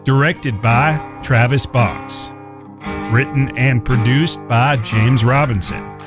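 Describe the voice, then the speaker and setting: A male announcer reads scripted credits for a western audio drama. He takes his time with very slow, measured phrasing at a middling pitch, while guitar music plays clearly behind him.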